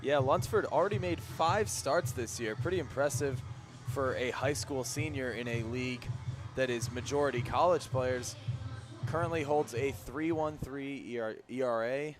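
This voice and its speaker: A man giving relaxed, analytical color commentary on a baseball radio broadcast. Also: mid-range voice, moderate pace, over music. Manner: relaxed, opens with "yeah", analytical.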